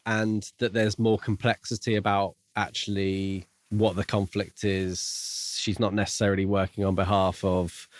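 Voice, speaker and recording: A man co-hosting a podcast, talking casually about a book in measured, hedging phrases. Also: fairly low pitch, little pitch movement, dry recording. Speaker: podcast co-host.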